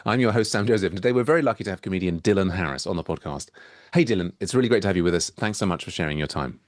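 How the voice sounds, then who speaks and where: A man hosting a podcast in casual chat, halting and restarting often, wandering into playful tangents. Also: low voice, no room sound, studio quality. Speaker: podcast host.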